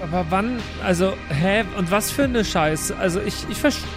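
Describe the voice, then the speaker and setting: Guy player chatting casually, highish voice, slangy english bits, faint music behind. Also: animated, normal speed. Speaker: player and show regular. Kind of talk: casual improvised role-play chat.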